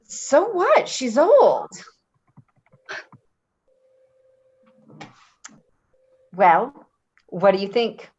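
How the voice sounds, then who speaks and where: A woman's voice: a little high, huge pitch swings, takes it slowly, a little mellow, breathy edge, heavily acted. A woman playing a breezy, evasive, self-serving friend in an offhand, casual play reading. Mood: teasing. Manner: offhand, giggly, hedging. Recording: dull lo-fi recording.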